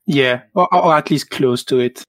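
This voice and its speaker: Calm, reflective man in his twenties, dry sound. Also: medium pitch, moderate pace, no room sound. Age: 20s. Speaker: podcast guest. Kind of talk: casual podcast chat.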